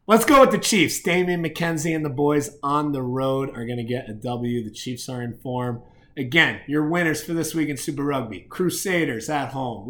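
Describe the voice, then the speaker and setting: A man's voice: medium pitch, a bit bright. A man hosting a rugby picks show, giving commentary and predictions in an opinionated, slangy way.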